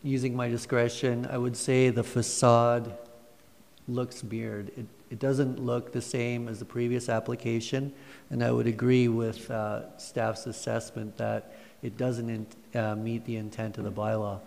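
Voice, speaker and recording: Brief: measured male board member, gravelly and flat, in an echoing hall. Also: medium pitch. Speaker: planning commission member. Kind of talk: formal meeting commentary. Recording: reverberant meeting room.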